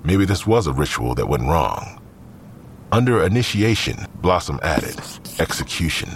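The serious narrator of a scripted police mystery. His voice is very deep yet moves with lively inflection, over a slight low rumble. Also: a little slow, heavily acted. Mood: serious, narrating. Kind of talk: scripted crime story narration.

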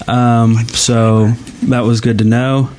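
A man hosting a podcast in casual chat, informal and halting as he goes. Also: medium pitch, level tone, darkish tone. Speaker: podcast host.

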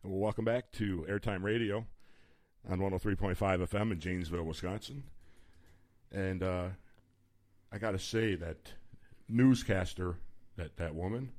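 A man's voice: a little slow, a little mellow. A man, hosting a radio show in loose, joking, rambling banter. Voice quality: baritone. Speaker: radio host.

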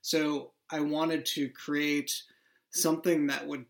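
A man's voice: a little high, level tone, takes it slowly, vocal fry. Reflective man explaining his writing. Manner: reflective, thinks aloud with restarts. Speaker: writer and show creator. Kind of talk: casual behind-the-scenes chat.

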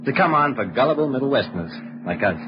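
An actor playing a practical young American husband, matter-of-fact and easygoing, in 1940s radio style. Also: male voice, mid-range voice, very animated, fast talker, lightly acted. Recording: muffled, noisy old radio recording.

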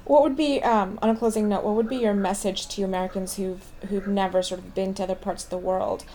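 A woman's voice: medium pitch, fairly even intonation, brisk, warm tone, slight background noise. A woman hosting an interview, asking long, rambling questions. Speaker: interviewer, host.

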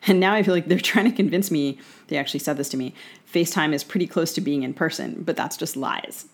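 A woman's voice: sits low, fast talker. A woman with an American accent co-hosting an interview podcast, conversational, articulate and analytical. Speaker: podcast co-host.

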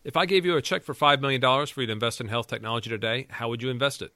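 A man's voice: mid-range voice, rapid-fire, bright, forceful tone, no echo. A man hosting an interview podcast, asking questions and closing the episode in an upbeat, polished way. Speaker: podcast host.

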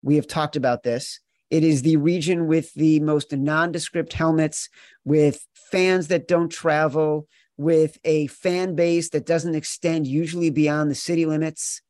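Higher-pitched man hosting a sports podcast. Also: fairly even intonation, measured pace, a little mellow, no echo, clean studio sound. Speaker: podcast host. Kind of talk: sports betting podcast talk.

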